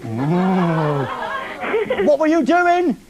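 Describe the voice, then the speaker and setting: A man's voice: sits high, huge pitch swings, extremely slow, muffled recording, a loud crowd, heavy background noise. A man hosting a live TV show, teasing a caller on the phone with cheeky questions. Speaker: TV presenter. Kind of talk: live TV prank phone call. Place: TV studio.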